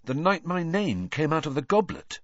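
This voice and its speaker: A man's voice: fairly high pitch, sing-song, moderate pace, voice pushed up. A man voicing an earnest, plain-spoken teenage boy in an audiobook story. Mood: thoughtful. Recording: dull lo-fi audio, roomy echo.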